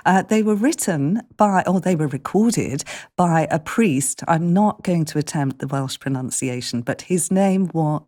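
A woman's voice: sits low, lively intonation, unhurried, mellow. A woman radio journalist talking through the news in a conversational, chatty and explanatory way. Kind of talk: conversational news briefing with presenter.

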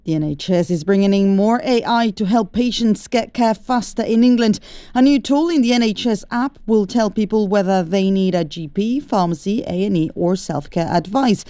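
A woman reading a radio news bulletin in a steady, clear manner. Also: mid-range voice, moderate pace, dry recording, studio quality. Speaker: newsreader. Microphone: studio mic.

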